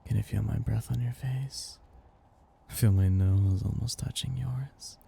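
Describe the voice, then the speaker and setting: A man voicing a seductive, possessive vampire master in an ASMR roleplay, breathy and gravelly, speaking in a slow, gently domineering, teasing way. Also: mid-range voice, a little hiss or hum. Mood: hypnotic, commanding. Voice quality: breathy, gravelly, often dropping into whispers. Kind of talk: ASMR roleplay, intimate scripted monologue.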